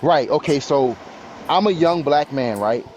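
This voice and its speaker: A man's voice: on the high side, lively intonation, unhurried, a little mellow, a little hiss or hum. A man in his late twenties on a live stream panel, explaining his views earnestly with examples and frequent restarts. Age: 20s. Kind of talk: casual panel talk. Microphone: live stream call.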